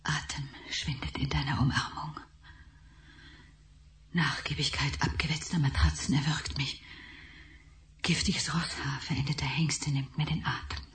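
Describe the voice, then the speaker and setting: A woman's voice: low-pitched, fairly even intonation, unhurried, long pauses, a bit bright. An actress playing a broken young woman who whispers her poetic, fading thoughts in a radio drama.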